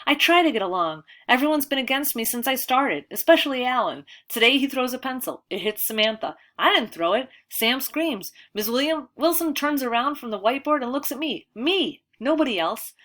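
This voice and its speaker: A woman voicing an angry, bullied seventh-grade boy in an audiobook, protesting loudly in rising outbursts. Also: fairly low pitch, moderate pace. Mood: furious. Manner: loud, pleading, rising outbursts. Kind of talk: audiobook character voice.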